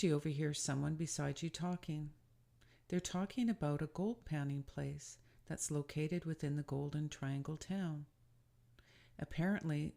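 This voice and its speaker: A woman speaking as a spiritual guide, leading a scripted guided meditation in a soothing, deliberate and gently instructive manner. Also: sits low, gravelly.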